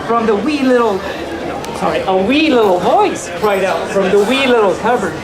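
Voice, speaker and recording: A playful storyteller reads children's bedtime tales aloud with lively swings in pitch. The delivery is live and a little stumbly, with asides, and a noisy crowd fills the large indoor space. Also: normal speed. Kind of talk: live children's story reading, told to an audience. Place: large indoor room at a convention. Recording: loud crowd noise in a public room.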